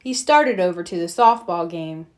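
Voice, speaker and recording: A woman reading a children's storybook aloud with expressive, deliberate narration. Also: lowish voice. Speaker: storybook reader.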